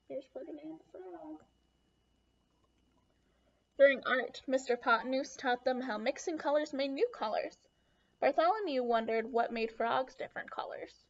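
A young adult woman reading a children's picture book aloud expressively, with questions and asides to her young listeners. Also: high-pitched. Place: small room at home, indoors. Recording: dull lo-fi webcam sound.

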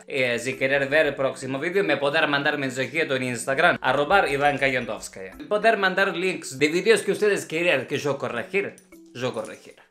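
A man's voice: mid-range voice, sharp tone, close to an everyday voice. Guy doing a bossy russian ballet teacher, thick theatrical delivery, broken grammar, echoey room w/ faint music. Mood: businesslike. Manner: broken Spanish with infinitive verbs, chanted counting syllables. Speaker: ballet teacher reviewing dancers' videos. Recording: roomy echo with faint music behind.

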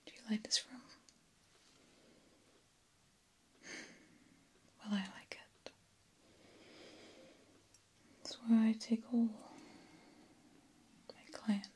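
A young woman plays a genteel vampire countess with a sinister edge, whispering softly in an ASMR roleplay. The delivery is breathy, very slow and soothing, spoken close to the microphone. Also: mid-range voice. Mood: genteel, pleased. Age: young adult. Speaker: ASMR performer.

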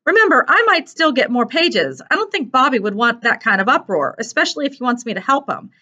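An anxious, awkward teenage boy performed by a woman narrator, pitched up from her usual voice. He is earnest and nervous in this storybook reading, and the recording sounds a little dull. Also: sing-song, a little fast, bright, forceful tone, full character voice. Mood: earnest, wondering. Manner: anxious, stammering when upset.

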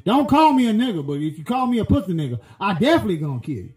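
Man playing a crude loudmouth country guy, rambling profane rant, very high voice, echoey room. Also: sing-song, rapid-fire, soft background music. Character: crude loudmouth rural American man voter.

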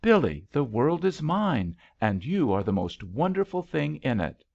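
An impetuous young man in love, acted out by a male reader in a storybook romance. He speaks fervently, with raised, swooping pitch and a creaky edge. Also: very animated, measured pace, darkish tone, highs cut off, full character voice. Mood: excited, proud. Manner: fervent, emphatic declarations.

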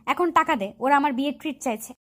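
A woman's voice: high voice, fast, a bit bright. A woman voicing a feisty, bossy college girl who scolds, in an audio love story. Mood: demanding.

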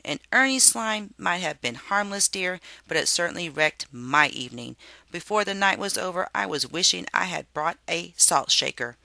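Someone putting on an indignant, high-pitched mother worm. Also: expressive, normal speed, creaky, bright, forceful tone, no echo, full character voice. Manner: blurting, aggrieved. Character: indignant mother earthworm, easily riled wife.

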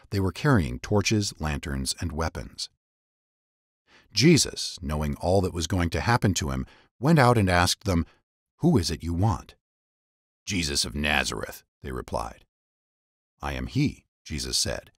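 A man reads scripture as a dramatic narrator. His low voice swings widely in pitch as he sets each phrase apart in measured storytelling. The recording is close and dry. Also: breathy edge, no echo. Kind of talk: dramatic scripted Bible reading.

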